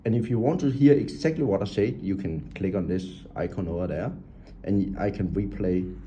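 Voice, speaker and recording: Deep, very dark-voiced man explaining an app. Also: muffled recording, a little hiss or hum. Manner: halting and talkative, pointing at screen items, non-native grammar. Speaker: app tutorial presenter. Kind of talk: unscripted screen-recorded app walkthrough.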